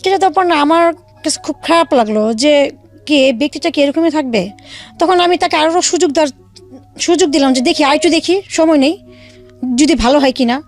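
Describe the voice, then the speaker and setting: A young woman from Bangladesh, telling her life story to an interviewer in a halting way with many fillers. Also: high-pitched, soft background music. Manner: halting, many fillers and restarts, re-enacts dialogue. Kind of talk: casual spoken storytelling.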